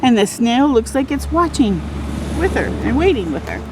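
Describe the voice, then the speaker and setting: A woman's voice: a little high, sing-song, moderate pace, noisy background. A woman reading a picture book aloud to young children, expressively, with exclamations and encouraging little asides. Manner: exclaims and comments encouragingly, drawing out key words. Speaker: children's read-aloud storyteller.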